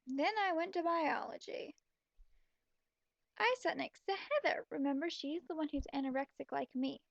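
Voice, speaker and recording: Woman putting on a high gushing shallow teen girl. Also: moderate pace, vocal fry, no echo, highs cut off. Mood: self-absorbed. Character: shallow, self-absorbed gossipy teenage girl diarist.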